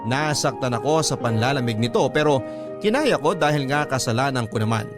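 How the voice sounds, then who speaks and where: Male Filipino radio drama narrator, mid-pitched, first-person storytelling over a clear music bed. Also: expressive, a little fast. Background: background music.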